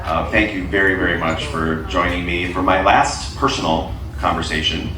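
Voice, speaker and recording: A man's voice: deep voice, moderate pace, echoey room, recorded from a distance, noisy background. A man hosting an onstage conversation, upbeat and welcoming as he brings out his guest. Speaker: host. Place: venue on a cruise ship.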